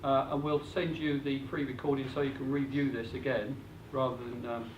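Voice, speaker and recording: A man's voice: mid-range voice, level tone, normal speed, lo-fi sound. A man presenting at a live seminar, practical and matter-of-fact, with frequent fillers. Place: meeting room with an audience. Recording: echoey room, distant mic, faint hiss.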